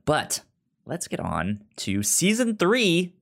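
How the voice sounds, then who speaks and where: A man's voice: fairly high pitch, huge pitch swings, takes it slowly, gravelly, a bit bright. A man hosting a casual TV review podcast, who often stammers and repeats the first sounds of words. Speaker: podcast host.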